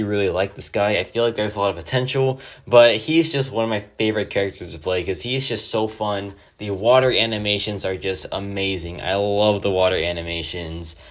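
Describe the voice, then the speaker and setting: A man with a hoarse, sore-throated voice giving casual, enthusiastic gameplay commentary over a home mic. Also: fairly low pitch, a bit bright. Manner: enthusiastic, rambling, many "like" fillers. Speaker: mobile game player.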